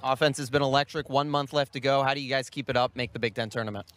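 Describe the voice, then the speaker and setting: A man's voice: mid-range voice, steady tone, quick pace, fairly bright tone, slight background noise. A young man working as a TV reporter, doing a postgame interview on the field. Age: young adult. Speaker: TV sports reporter. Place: outdoors by a baseball dugout. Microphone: handheld interview microphone.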